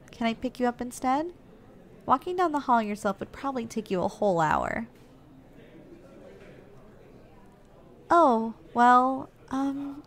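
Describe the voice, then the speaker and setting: A woman playing a doting, gentle giantess secretary in an ASMR roleplay, speaking in a caring, softly teasing, slightly hesitant way. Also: a little high. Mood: apologetic, gentle.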